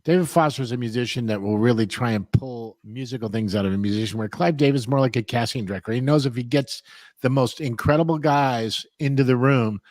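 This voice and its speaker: A man telling stories in a casual chat, animated and often throwing in "man" and "you know". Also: medium pitch, no room sound, studio quality.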